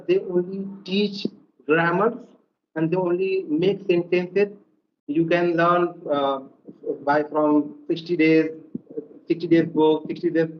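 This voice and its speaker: A man's voice: a little high, highs cut off, slight background noise. Hesitant man searching for words. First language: Hindi or Urdu. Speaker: learner, guest.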